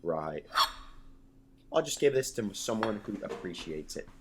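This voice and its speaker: A man's voice: lowish voice, big pitch swings, a little slow, noisy background. A man playing a proud, touchy head cook in an audio drama, curt and demanding. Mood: offended, curt.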